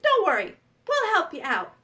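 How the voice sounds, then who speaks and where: A woman's voice: extremely high pitch, wildly swooping pitch, normal speed, sharp tone, far from the mic, heavily acted. A woman voicing the biggest billy goat in a storybook tale, a confident, reasonable, kindly older brother. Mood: reasonable, helpful.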